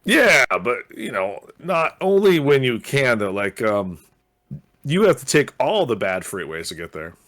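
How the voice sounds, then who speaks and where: A man's voice: medium pitch, sing-song, unhurried, fairly bright tone. A man co-hosting a casual podcast, rambling with lots of fillers and restarts. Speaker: podcast co-host. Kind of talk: casual chat. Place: Los Angeles area.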